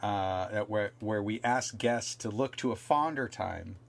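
A man's voice: fairly low pitch, a little slow, vocal fry. A middle-aged man co-hosting a podcast, chatting casually and comedically in a wry, mock-earnest way. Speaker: podcast co-host.

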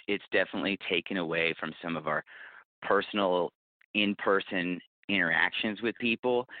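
A deep-voiced man speaks as an interview guest on a thin, dry phone connection. He talks casually and earnestly, with clear enthusiasm for the work. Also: no echo. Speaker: podcast guest, bookseller and podcast producer. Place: phone call. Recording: roomless tinny phone line.

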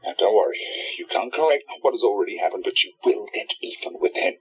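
A man's voice: on the low side, lively intonation, fast, husky. A man playing a confident time-traveling hero in an amateur audio drama, reassuring and authoritative. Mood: reassuring, resolute. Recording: dull, muffled lo-fi recording.

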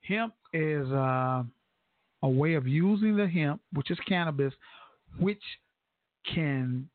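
A man's voice: on the high side, expressive, takes it slowly, no echo. A man hosting a casual talk show, chatty and prompting, often repeating his guest's words. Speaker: host. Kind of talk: casual interview chat. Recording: dry tinny phone-like sound.

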